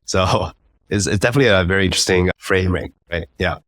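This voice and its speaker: A man with a deep voice hosts an interview at a quick pace. His delivery is informal and conversational. The recording is dry and free of echo. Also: a bit bright. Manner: informal, loosely phrased, conversational. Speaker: interviewer, podcast host. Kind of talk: casual interview chat.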